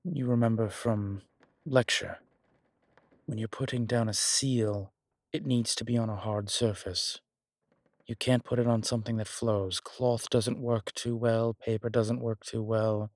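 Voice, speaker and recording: A man playing a strong, scholarly demon in a horror roleplay audio, explaining things in a deliberate, halting, pedantic way. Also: fairly low pitch, steady tone, darkish tone, dry recording, studio quality. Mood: pedantic, disapproving. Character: strong, scholarly male demon, exasperated occult lecturer. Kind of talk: immersive one-sided roleplay audio drama.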